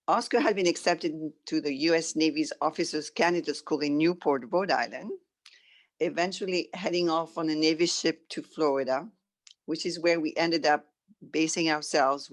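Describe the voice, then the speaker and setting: A middle-aged woman from France with a French accent, reading a written personal story aloud as a guest. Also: deep voice.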